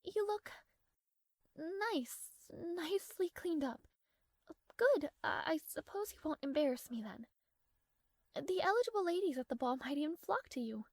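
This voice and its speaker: A woman's voice: high-pitched, lively intonation, measured pace, long pauses, darkish tone, dry recording, clean studio sound. A woman playing a proud, strong-willed young princess in a romantic fantasy audio roleplay, speaking formally with haughty, flustered hesitations.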